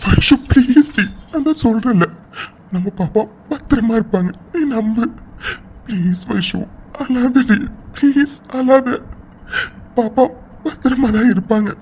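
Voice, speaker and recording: A woman playing an anxious young husband in an emotional audio drama, pleading tenderly and haltingly as he reassures his wife. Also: a little high, lively intonation, a little mellow. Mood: pleading, tearful. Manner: pleading, halting, repeats words, tearful. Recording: faint background noise.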